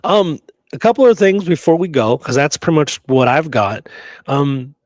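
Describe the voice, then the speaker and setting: A man co-hosting a history show in casual discussion, talking informally and haltingly with frequent asides. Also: fairly high pitch, animated, no echo. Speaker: co-host of a history talk show.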